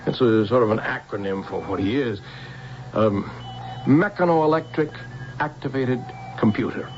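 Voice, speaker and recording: An American actor playing a protective, fatherly older scientist in a radio drama, speaking gently and earnestly with hesitant pauses. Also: mid-range voice, slight background noise, natural voice. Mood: earnest, explaining. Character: protective older scientist, tender fatherly guardian to a young woman. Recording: dull old radio recording with music.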